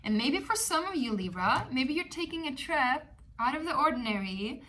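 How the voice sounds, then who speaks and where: A woman's voice: high voice, big pitch swings, normal speed, pushed and bright. A woman with a Swedish accent, Swedish her first language, giving a casual, chatty tarot reading with many fillers. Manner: chatty, rambling, many fillers, laughs often. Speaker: tarot reader.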